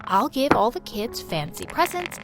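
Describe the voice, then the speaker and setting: A woman voicing a gentle, loving mother speaking softly to her daughter. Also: fairly high pitch, big pitch swings, moderate pace, background noise. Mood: tender. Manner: soft, soothing.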